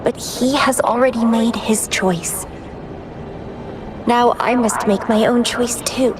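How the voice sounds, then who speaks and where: A woman playing an enigmatic, proud and world-weary young woman in a video game, musing slowly with wistful self-questioning. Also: a little high, steady tone, rough recording. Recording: background music under the voice.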